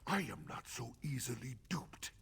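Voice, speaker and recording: An actor playing a proud, suspicious, grieving High King in a fantasy video game, haughty and scornful, moving between hushed menace and roaring. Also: animated, moderate pace, gravelly, breathy edge, heavily acted.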